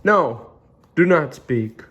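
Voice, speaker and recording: Guy doing a dramatic worried elephant for a kids storybook, high and swoopy, sneezy and halting. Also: expressive, muffled recording. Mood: panicked, dramatic. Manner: halting, wailing, melodramatic. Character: anxious, dramatic male cartoon elephant, sneezy and distraught.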